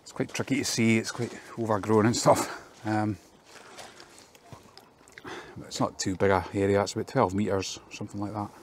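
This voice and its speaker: Man, low monotone voice, tradesman narrating his own job vlog outdoors on a handheld phone, faint background ambience. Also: normal speed, long pauses, slight background noise. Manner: plain, practical, with many ums. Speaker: fencing contractor. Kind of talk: casual work vlog walkthrough. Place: outdoors in a garden.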